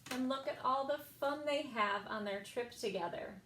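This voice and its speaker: A woman reading picture books aloud for a children's story time, expressive and sing-song, with emphasized words. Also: high-pitched, moderate pace, slight background noise. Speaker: library story time reader.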